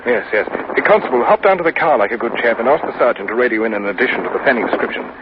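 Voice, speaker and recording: An actor playing a courteous, unflappable British police inspector with a clipped, formal manner, in 1950s radio style. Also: male voice, mid-range voice, lively intonation, racing, lightly acted. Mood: decisive. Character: courteous, unflappable male Scotland Yard inspector, formal and precise. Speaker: supporting actor in a radio drama. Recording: muffled, noisy vintage radio recording.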